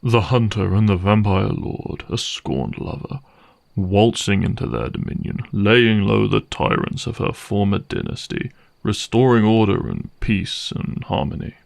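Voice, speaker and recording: Gravelly, low-voiced cynical vampire hunter played by a man. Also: unhurried. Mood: sardonic, dismissive. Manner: wry, sardonic, menacing. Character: gruff, cynical male vampire hunter for hire. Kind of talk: dramatic audio roleplay monologue addressed to listener.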